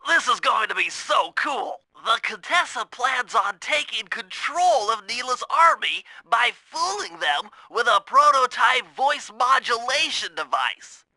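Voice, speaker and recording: A brainy young cartoon turtle, with a very high, bright nasal voice. He lays out heist plans for a video game in an eager, precise and earnest way, sounding close and dry. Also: big pitch swings, measured pace, hardly any pauses, dry recording, exaggerated voice, poor audio. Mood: excited. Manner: precise, technical, eager mission briefing. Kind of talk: animated video game voice acting.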